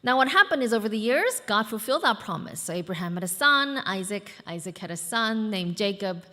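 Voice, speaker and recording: A woman's voice: medium pitch, very animated, a little fast, bright, forceful tone, in a large hall. A woman in her thirties, from the United States, preaching a sermon as pastor in a conversational way, with fillers and asides to the congregation. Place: church hall.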